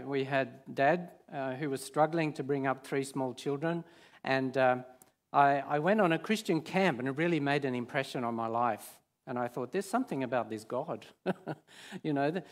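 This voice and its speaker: Man, fifties, Swedish native speaker, guest preacher, church stage, hesitant, many fillers. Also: mid-range voice. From Sweden. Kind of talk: informal sermon.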